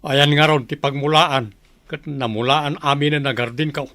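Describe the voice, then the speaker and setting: A man's voice: a little high, steady tone, moderate pace, pushed and bright, no room sound. A man with an Ilocano accent playing a skeptical, ambitious village farmer in a radio comedy-drama. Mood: musing, scheming.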